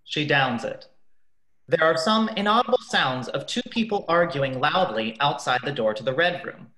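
A man narrating a scripted radio play in a measured, announcing manner. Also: a little high, expressive, pushed and bright. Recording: echoey room.

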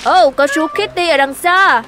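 A woman voicing an earnest, resourceful lion in a children's cartoon, with an expressive, singsong rhyming delivery. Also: high-pitched, a little slow. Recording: light music behind the voice.

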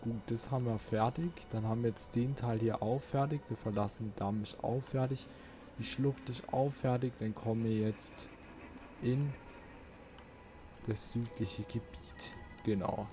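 A man's voice: medium pitch, fairly even intonation, a little slow, over music. A man giving casual, grumbling let's play commentary as he plays a video game. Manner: grumbling, mild swearing at the game.

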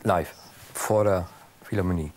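A man's voice: low-pitched, unhurried, darkish tone. A man appearing as a guest on a studio talk show, chatting about building wind harps with enthusiasm. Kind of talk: casual studio chat.

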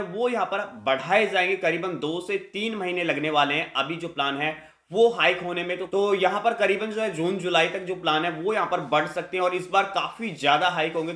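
A man's voice: high voice, pushed and bright. A man, giving an emphatic, repetitive news update to camera as a tech YouTuber. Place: small indoor room with hard walls. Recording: echoey room.

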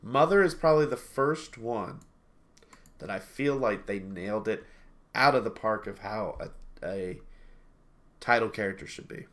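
A man's voice: on the low side, measured pace, fairly bright tone. Rambling sniffly man on a video call. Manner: rambling, self-correcting, laughs easily. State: sniffly from allergies. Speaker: game reviewer co-host. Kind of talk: casual video call chat. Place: small home room. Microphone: headset on a video call.

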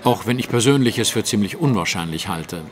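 A man's voice: lowish voice, animated, fairly quick, lightly acted. A man playing a keen, self-assured detective in an audio drama, speaking with formal precision. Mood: analytical.

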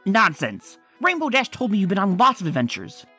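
A woman voicing a bold, confident female adventurer pony in a comic dub, talking briskly and commandingly. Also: medium pitch, huge pitch swings, sharp tone, breathy edge, soft background music, heavily acted. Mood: eager.

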